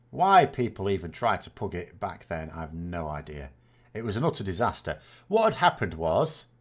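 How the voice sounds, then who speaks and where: A man, chatting casually and rambling as a gaming host answering viewer questions, often correcting himself with dry self-mockery. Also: lowish voice. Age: 40s.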